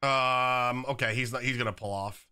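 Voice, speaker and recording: A young man with a slightly raspy voice from being sick, chatting casually while commenting on his own gameplay at a desk setup. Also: mid-range voice, steady tone, moderate pace, bright, forceful tone. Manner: casual, chatty, many fillers like "like" and "um". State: slightly raspy, recovering from illness. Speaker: gaming YouTuber. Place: home gaming setup, indoors.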